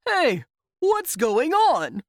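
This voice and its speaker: A woman voicing a menacing, sly male general with a rough, threatening manner in a fantasy audiobook. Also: medium pitch, sing-song, takes it slowly, dry recording, studio quality, pitched above the performer's own voice. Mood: alarmed, demanding. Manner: threatening, demanding.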